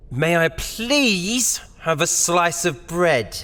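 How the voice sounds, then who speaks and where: A man's voice: on the high side, sing-song, drawn-out words, sharp tone, exaggerated voice. A man playing a sarcastic, exasperated young prisoner in an audio drama, recorded with long reverb. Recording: long hall-like cell reverb.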